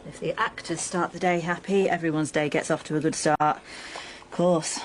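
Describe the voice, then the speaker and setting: An actress playing a cheerful, gossipy make-up artist in a radio drama. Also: female voice, deep voice, fairly even intonation, normal speed, slight background noise, close to an everyday voice. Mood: cheerful, confiding.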